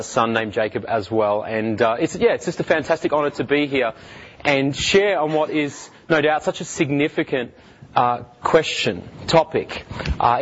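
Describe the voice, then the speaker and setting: An Australian man in his thirties, a guest speaker telling his life story in earnest, halting speech full of fillers and restarts. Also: fairly high pitch, muffled recording, a little hiss or hum. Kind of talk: personal testimony talk.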